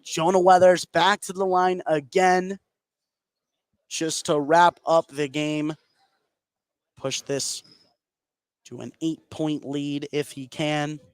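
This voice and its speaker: A man's voice: on the high side, flat delivery, slow pace, long pauses, clear, resonant tone, no room sound. An American man giving live play-by-play commentary as a basketball announcer.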